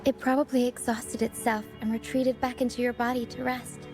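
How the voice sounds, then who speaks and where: Woman doing a young game girl, high sweet voice, gentle n helpful, bit playful, music behind. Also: flat delivery, fairly quick, natural voice. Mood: calm, friendly. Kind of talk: video game cutscene voice acting.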